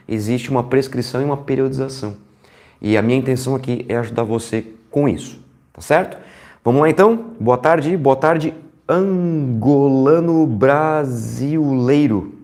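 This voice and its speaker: A Brazilian man with a slightly hoarse, stuffy voice, teaching on a live stream in an easy, chatty way. He greets viewers by name as he goes and keeps asking whether everyone is following along. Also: medium pitch, darkish tone. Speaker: strength training educator hosting a live stream. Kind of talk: casual live-stream lesson.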